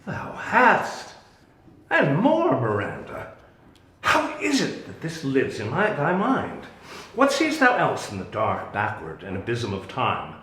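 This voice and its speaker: Guy acting as a commanding wizard dad in shakespeare, solemn and deliberate, really animated pitch, echoey room. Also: full character voice. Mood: probing, commanding. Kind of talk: Shakespearean dramatic reading. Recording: roomy, echoing sound.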